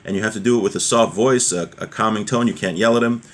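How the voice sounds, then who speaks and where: A man's voice: fairly low pitch, fast talker. A man giving an informal religious lesson, reading and explaining as he goes and putting rhetorical questions to the listener. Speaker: religious teacher.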